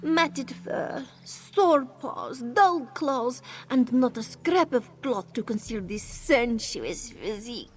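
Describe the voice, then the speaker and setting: A woman's voice: high-pitched, a little mellow. An actress voicing a playful, flirty female cat-folk wanderer in a video game, coy and teasing, talking about herself in the third person. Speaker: game character.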